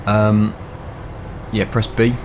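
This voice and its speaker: Chatty man, slightly hoarse. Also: on the low side, little pitch movement, extremely slow, noisy background. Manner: chatty, thinks aloud with fillers. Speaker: gaming channel host. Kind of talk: casual gameplay commentary.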